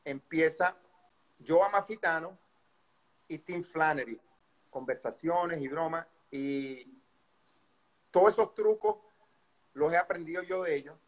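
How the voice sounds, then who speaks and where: A middle-aged man with a Venezuelan Spanish accent, a baseball coach as guest, recounting his career in a relaxed, rambling way over a video call. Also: on the high side, a little slow, pauses often, poor audio. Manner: relaxed storytelling with many fillers and restarts. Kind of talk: casual interview storytelling. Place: indoor room by a window. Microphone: video call webcam.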